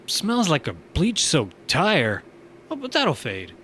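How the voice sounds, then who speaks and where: Easygoing space bar owner, slightly high animated voice, friendly casual delivery, sci-fi drama. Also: male voice, takes it slowly, slight background noise, exaggerated voice. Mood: pleased, friendly. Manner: casual, encouraging. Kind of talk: sci-fi audio drama acting.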